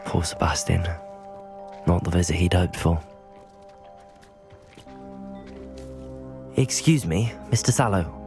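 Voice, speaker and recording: An actor playing a composed young wizard student in a video game, courteous and measured, with a husky voice. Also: male voice, sits low, expressive, over music. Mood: sympathetic. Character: polite, composed young male wizard student, supportive friend. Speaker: game protagonist. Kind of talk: video game dialogue acting.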